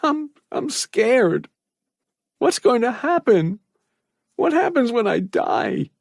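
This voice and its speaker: A man voicing a frightened teenage boy trapped in a cage, high and tearful with a cracking voice. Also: animated, moderate pace, a little mellow, dry recording, clean studio sound. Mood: terrified.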